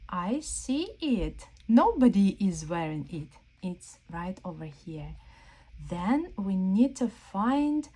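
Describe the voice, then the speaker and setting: Children's storyteller: woman, mellow voice, very animated pitch, guiding small listeners through a picture book. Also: husky, poor audio. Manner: exaggerated wonder, slow questions to young listeners. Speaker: children's read-aloud storyteller. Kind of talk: interactive read-aloud for young children.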